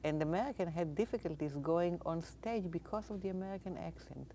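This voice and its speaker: Woman from Israel, theater teacher, measured speech, dark warm tone. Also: lowish voice, slight background noise. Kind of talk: interview conversation.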